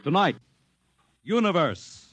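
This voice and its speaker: Grand, animated American male radio announcer. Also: sits high, takes it slowly. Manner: grand, portentous delivery. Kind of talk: dramatic 1950s radio show introduction. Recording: vintage radio broadcast, music behind.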